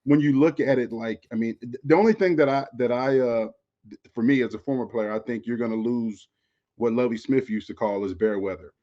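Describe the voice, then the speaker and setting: Casting: American male ex-athlete podcast co-host, mid-pitched, conversational, repetitive phrasing, slightly dull lo-fi audio. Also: fairly quick. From Florida, United States. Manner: conversational, repeats phrases, often says "you know". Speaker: former pro football player, podcast co-host. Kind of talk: casual sports podcast chat.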